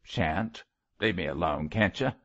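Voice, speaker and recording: Man putting on a surly gravelly Cockney murderer. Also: low voice, animated, measured pace, a bit bright, highs cut off, full character voice. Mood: defiant. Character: surly Cockney murderer, bitter and defiant, cornered. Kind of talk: audiobook character voice.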